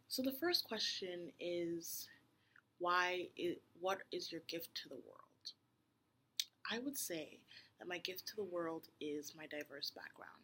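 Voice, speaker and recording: A woman's voice: on the low side, sharp tone, slight background noise. A young woman from Nigeria, raised in Cape Town, answering video interview questions in an earnest, reflective way with fillers and restarts. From Nigeria, raised in Cape Town, South Africa. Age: young adult. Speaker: university applicant. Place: home room with a roommate passing.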